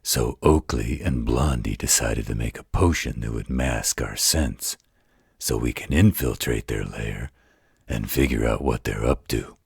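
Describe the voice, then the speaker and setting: A blunt, wisecracking supernatural tough guy for a roleplay audio drama. His voice is extremely low and full of gravelly fry, very husky, with a laid-back, loyal air. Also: unhurried, darkish tone, no room sound, studio quality, full character voice. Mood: reluctant, explaining. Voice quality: gravelly, raspy.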